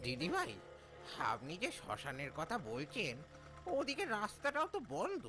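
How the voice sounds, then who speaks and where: A man's voice: high-pitched, huge pitch swings, moderate pace, over music. A man playing a sly, menacing auto-rickshaw driver in a cartoon story. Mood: uneasy, reluctant. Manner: sly, evasive, gruffly colloquial. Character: shady, menacing male auto-rickshaw driver, secretly a robber.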